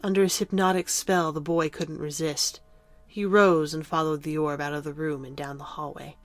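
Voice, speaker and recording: A woman reading scripted narration for an audio drama in a measured, dramatic storytelling manner. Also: deep voice, little pitch movement.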